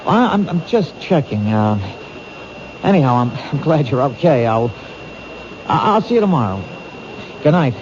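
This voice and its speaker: An actor playing an earnest, anxious American police detective in a radio drama, with a hesitant, halting delivery. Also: male voice, mid-range voice, big pitch swings, natural voice. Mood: concerned. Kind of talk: old-time radio drama acting. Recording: noisy, muffled vintage radio broadcast.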